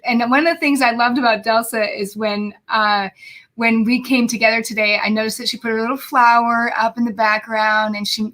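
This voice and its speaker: A woman's voice: fairly high pitch, a bit bright. A woman hosting a live podcast over a video call, bubbly and chatty, with many fillers and restarts. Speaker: podcast host. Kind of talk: casual live podcast chat.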